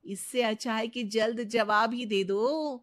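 Lady doing a smug young cartoon bahu, taunting, sure of herself, highish voice. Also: steady tone, a little slow, lightly acted. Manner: taunting, self-assured. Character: smug, challenging young daughter-in-law who loves riddles. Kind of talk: animated story dialogue.

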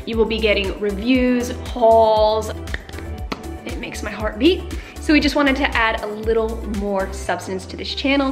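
A woman's voice: medium pitch, roomy sound. A young woman in her twenties chatting to camera in a bubbly, slangy way about what she brings to the gym. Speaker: fitness vlogger. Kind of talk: casual chatty product rundown. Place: bright indoor home kitchen.

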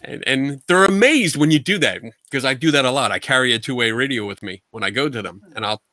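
A middle-aged man from the United States talks over a video call, blunt and colloquial, with animated energy. His voice is bright and forceful, with a dry, close sound. He speaks from a room at home. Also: mid-range voice, quick pace, dry recording. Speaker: guest paranormal investigator. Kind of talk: casual video call interview. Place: home room full of collectibles.

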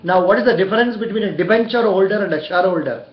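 An older man with an Indian accent conducts a practice interview in an encouraging way, often giving short approvals. His voice sits a little high. The recording is echoey and somewhat dull, made in a room. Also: racing. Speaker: interviewer and career coach. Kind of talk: mock job interview over the telephone. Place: small indoor room.

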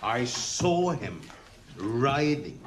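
A man's voice: mid-range voice, very animated, extremely slow, reverberant, a little hiss or hum. An actor playing a boisterous, admiring warrior who draws out his words emphatically, at a drama table read. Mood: amused, marvelling.

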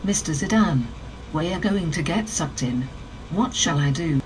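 An anxious airship crewman played by a man, deferential and rather high-voiced. It sounds like a fantasy game, with clear noise around him. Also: lively intonation, unhurried. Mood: worried. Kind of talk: fan dub game acting. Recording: noisy engine-like ambience.

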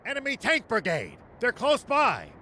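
An actor playing a stern, honorable old military veteran with a grave, commanding manner in a video game. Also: male voice, very high-pitched, huge pitch swings, normal speed, sharp tone, a little hiss or hum, exaggerated voice. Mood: urgent, commanding. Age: older. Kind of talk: English video game cutscene acting.

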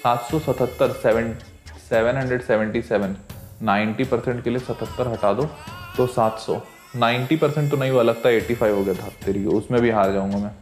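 A man's voice: medium pitch, steady tone, a little fast. Playful man over background music. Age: 30s. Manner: playful, teasing. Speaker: online teacher. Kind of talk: casual prank phone call chat. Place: indoor teaching studio.

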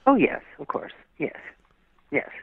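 An older woman, a guest actress, recalling her television work in a gracious, drawn-out way, often affirming with yes. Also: dry recording. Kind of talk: interview recollection. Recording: tinny dry phone line.

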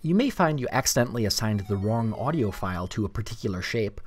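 A man reading a scripted DIY tutorial narration in a clear, step-by-step instructional way. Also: lowish voice, expressive, fast talker.

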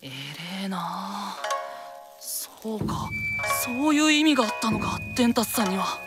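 An actor playing a brash, frank young male hero in a radio drama, with rough, cheeky casual speech. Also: high voice, expressive, measured pace, over loud music. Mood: admiring. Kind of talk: anime-style radio drama acting.

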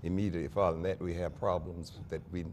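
Older male pastor, very low mellow voice, measured and earnest, lapel mic, faint hum. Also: fairly even intonation. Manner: measured, earnest, with small restarts. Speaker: pastor and convention guest. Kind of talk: studio interview. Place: small TV studio set.

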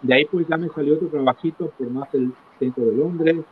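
A middle-aged man recounting his life as an interview guest, in a halting manner, repeating phrases for emphasis. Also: on the high side, steady tone. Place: small indoor room, video call. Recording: tinny phone-like call with clear noise.

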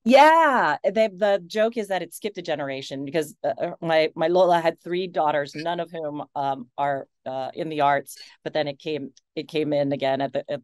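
A woman's voice: deep voice, normal speed, few pauses, no room sound, studio quality. A woman in her forties, an actress, chatting animatedly on a video call with many restarts and laughs. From United States. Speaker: actress, interview guest.

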